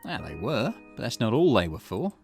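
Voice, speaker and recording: A man's voice: medium pitch, big pitch swings, brisk, music in the background. A man voicing a shrewd, confident male investigator in a visual novel, speaking in a conversational, explanatory way. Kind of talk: reading visual novel dialogue aloud.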